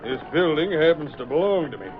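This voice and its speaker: An actor playing an arrogant, threatening slum landlord in old radio drama, haughty, demanding and indignant. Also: male voice, on the high side, animated, fairly quick, crowd noise, close to an everyday voice. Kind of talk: 1930s-40s radio drama acting. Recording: old radio recording, muffled, noisy.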